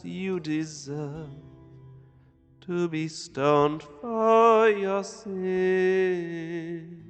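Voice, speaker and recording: An actor playing a fervent, dignified asylum lunatic in an audio drama, formal, courteous and pleading. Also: male voice, a little high, smooth. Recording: long reverberant hall.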